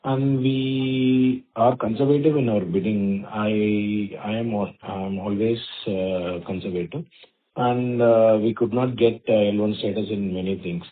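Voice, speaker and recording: A man, a company executive answering investor questions in a halting way, with many fillers. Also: lowish voice, fairly even intonation. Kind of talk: earnings call answers. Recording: phone-line call audio.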